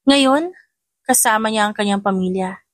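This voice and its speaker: A woman's voice: mid-range voice, moderate pace, dry recording, clean studio sound. A woman with a Filipino accent reading a romantic story as scripted, dramatic audio narration.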